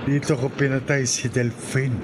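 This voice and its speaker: A man's voice: mid-range voice, level tone, close to an everyday voice. An actor with a Filipino accent playing a menacing, murderous man in a radio horror drama. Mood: gloating menace. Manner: slow, drawn-out threats with villainous laughter. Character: menacing murderous man, gloating then terrified. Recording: loud background noise.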